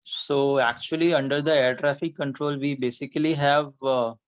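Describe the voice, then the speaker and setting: A man's voice: medium pitch, steady tone, moderate pace, clear, resonant tone, highs cut off. A man with an Indian accent, giving a methodical, step-by-step technical explanation as an interview guest. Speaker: air traffic controller, podcast guest.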